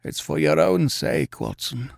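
A man voicing a gravely ill, masterful detective in a croaking, gasping, spasmodic voice. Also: fairly low pitch, sing-song, measured pace, no echo, clean studio sound, exaggerated voice. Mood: feeble, feverish. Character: gravely ill, imperious male detective, feeble yet masterful.

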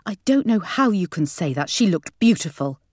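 A woman voicing an outraged, scolding middle-aged mother in an audiobook, shrill and dramatic. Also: big pitch swings, normal speed, darkish tone, no room sound, studio quality. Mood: indignant.

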